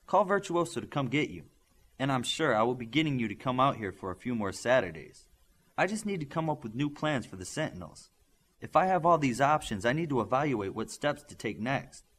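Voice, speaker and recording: A man voicing a practical adult female scientist in an audiobook, with a measured, precise, businesslike manner. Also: medium pitch, sing-song, fairly bright tone, husky. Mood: grateful, thoughtful.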